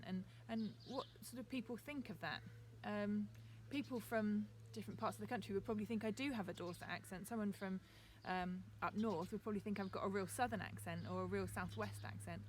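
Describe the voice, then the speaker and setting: A woman teacher with a received pronunciation accent gives a chatty, relaxed video lesson outdoors in her garden, with frequent ums. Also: medium pitch, a little hiss or hum.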